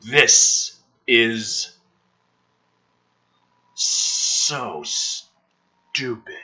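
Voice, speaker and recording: A man giving exasperated, sweary commentary on a script he is reading aloud, with theatrical outbursts and yelling. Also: medium pitch, very slow, breathy edge, low-quality recording. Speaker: host reading a film script draft aloud with angry commentary. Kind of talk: ranting commentary mixed with dramatic script reading.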